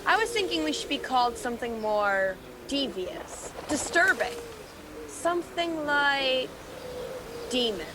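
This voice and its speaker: A woman's voice: high voice, big pitch swings, unhurried, background noise. A woman playing a sly, sarcastic demon henchman with a mocking, theatrical delivery in a radio play. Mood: sarcastic mockery. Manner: mocking, mock-sweet, theatrical.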